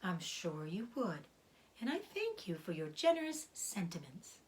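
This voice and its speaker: A woman voicing a gentle, weary, dying female spider in a children's storybook, softly and slowly, often near a whisper. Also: lowish voice, huge pitch swings. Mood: gentle, reflective. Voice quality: soft, breathy, often whispered. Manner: slow, measured, soothing delivery. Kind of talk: children's storybook read-aloud.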